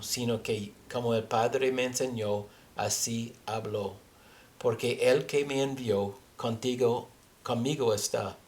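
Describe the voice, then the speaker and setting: A man with a foreign accent, a native English speaker, teaching scripture haltingly as he searches for words. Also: mid-range voice. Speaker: religious teacher. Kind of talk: Bible teaching with scripture reading.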